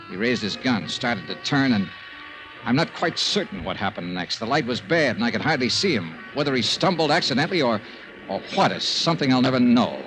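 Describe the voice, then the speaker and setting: An actor playing a tough, weary private investigator with an American accent and clipped, matter-of-fact delivery in an old radio detective drama. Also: male voice, fairly low pitch, lightly acted. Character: tough, weary male private investigator, narrating his case. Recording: noisy old radio serial recording.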